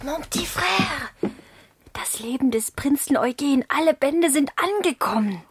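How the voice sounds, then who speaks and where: An actress playing an affectionate, conspiratorial princess and sister in a German radio drama. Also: female voice, high-pitched, animated, unhurried, over music. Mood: tender, secretive.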